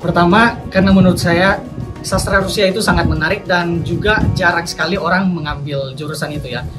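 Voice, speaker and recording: Polite young man over loud music. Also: high voice, fairly even intonation, fairly quick. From Papua. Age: young adult. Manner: polite, formal, soft-spoken. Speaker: incoming university student. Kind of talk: interview answers. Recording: loud music bed under voice.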